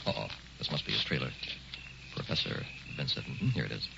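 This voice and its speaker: Determined young husband, old radio recording with noticeable hiss. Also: male voice, extremely low pitch, big pitch swings, fairly quick, vocal fry, dull audio, heavily acted. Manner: stubborn, persuading. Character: young husband tempted by easy money. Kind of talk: old-time radio drama acting.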